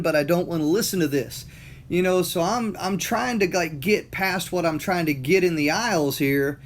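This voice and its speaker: A man's voice: a little high, slight background noise. A middle-aged man with a Southern US accent, talking casually and motivationally, rambling with many fillers and repeated words. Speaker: motivational talker. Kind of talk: casual monologue.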